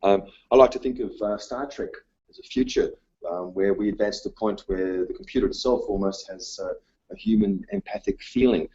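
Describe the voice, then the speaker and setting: A male teacher whose first language was Dutch, giving a conference talk in a halting way, full of ums and uhs. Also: lowish voice, lively intonation, creaky, mellow, breathy edge, lo-fi sound. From Australia. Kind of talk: presentation talk. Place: conference room.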